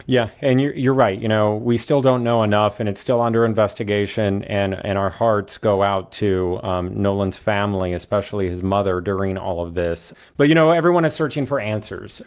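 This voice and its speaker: Male podcast host, measured conversation. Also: fairly low pitch, steady tone. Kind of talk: conversational true crime interview.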